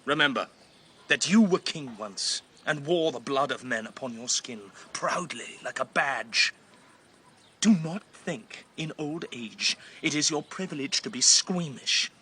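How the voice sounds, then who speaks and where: A man's voice: mid-range voice, animated, measured pace, sharp tone, husky, exaggerated voice. An actor playing a rigid, skeptical younger king who speaks with declamatory contempt in a classical radio drama. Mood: contemptuous.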